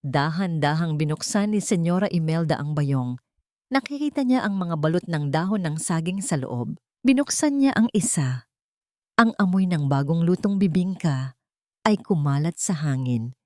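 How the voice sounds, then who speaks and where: Low-voiced woman narrating, dry sound. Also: lively intonation, normal speed, a little mellow, dry recording. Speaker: audiobook narrator. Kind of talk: scripted first-person dramatic story narration.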